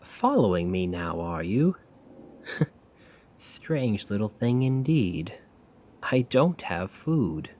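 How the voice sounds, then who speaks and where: A man playing a weary, self-doubting failed poet in a soft-spoken, rambling ASMR roleplay monologue. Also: fairly low pitch, sing-song, slight background noise. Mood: irritated, weary. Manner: slow, brooding, rambling. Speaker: roleplay audio performer.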